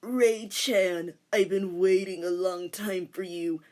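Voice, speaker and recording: A woman's voice: on the low side, fairly even intonation, slow, darkish tone. A woman voicing a protective, secretly lovestruck male half dog-demon teacher in a comic anime fan-fiction reading. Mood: earnest. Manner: earnest, protective.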